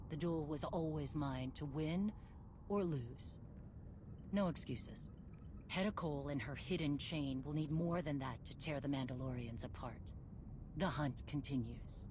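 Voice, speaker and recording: A proud Mandalorian warrior queen for a video game, with a deep female voice. She is terse, resolute and used to command. Clear ambient noise sits behind her. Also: measured pace. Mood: grim resolve. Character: proud, tough female Mandalorian warrior leader, wounded but defiant. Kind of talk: video game cutscene acting.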